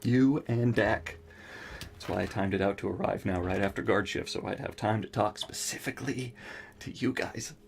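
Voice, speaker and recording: An actor in an audio drama playing a manic, sleep-deprived, scatterbrained scientist who rambles and stammers. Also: male voice, fairly low pitch, moderate pace, vocal fry, a little hiss or hum. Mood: agitated, earnest.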